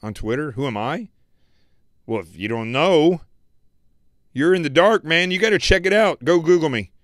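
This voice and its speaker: A man hosting a political commentary livestream, preaching emphatically and repeating phrases, his voice going hoarse. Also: a little high, expressive, normal speed, no room sound, clean studio sound. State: losing his voice. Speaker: show host.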